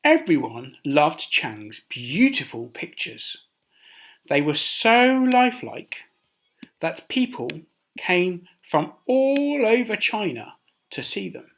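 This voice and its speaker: A man reading a children's story aloud as a teacher, expressive and with dramatic emphasis. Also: sits high, slow.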